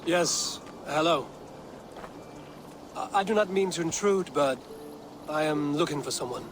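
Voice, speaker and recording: An actor playing a steadfast Viking warrior leader with a measured, direct delivery in a game drama. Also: male voice, a little high, background noise, lightly acted. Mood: direct, curious. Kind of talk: dramatic game cutscene acting.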